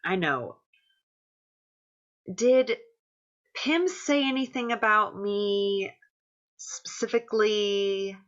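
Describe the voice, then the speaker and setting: A woman acting in a play reading as an anxious, conciliatory friend, halting and apologetic as she placates. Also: medium pitch, fairly bright tone, muffled recording. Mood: earnest, hesitant. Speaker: actor. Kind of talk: staged play reading, naturalistic dialogue.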